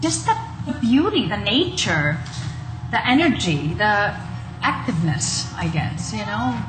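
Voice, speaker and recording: A woman's voice: mid-range voice, sing-song, measured pace, noisy background. A woman from Iceland, talking casually in an interview as a singer-songwriter. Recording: echoey room, music behind. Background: music.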